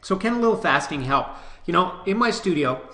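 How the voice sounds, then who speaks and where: Canadian fitness guy with a cold, bright tense voice, kinda high, echoey room, keeps saying okay?. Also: expressive, a little fast. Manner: direct, coaching, frequent "okay?" check-ins. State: nasal from a cold, sniffling. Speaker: personal trainer. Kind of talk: casual talk to camera.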